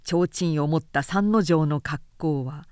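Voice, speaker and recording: A woman narrator giving a measured, clearly articulated reading of literary fiction in audiobook style. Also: deep voice, darkish tone, no room sound, studio quality.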